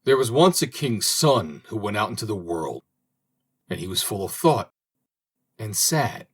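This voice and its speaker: A man reading an old fairy tale aloud as an audiobook narrator, in a slow, expressive manner. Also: fairly low pitch, husky. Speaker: audiobook reader. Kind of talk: storybook narration.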